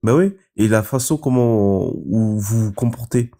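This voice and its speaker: A man's voice: lowish voice, unhurried, mellow. A man calling in to a live stream, giving his opinion hesitantly with many fillers and restarts. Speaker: caller. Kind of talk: casual opinion monologue.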